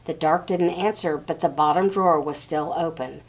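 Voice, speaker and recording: A woman reading a storybook aloud to young children in short phrases with pauses, speaking directly to her listeners. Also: low voice, low-quality recording.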